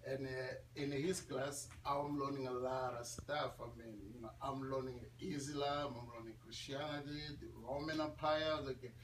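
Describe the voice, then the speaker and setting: A man with a Congolese accent gives an informal talk as a guest speaker, in English he learned later. He is conversational and repeats phrases for emphasis. The recording is roomy, picked up from a distance, with clear noise behind him. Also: medium pitch, fairly even intonation, moderate pace, few pauses. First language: not English.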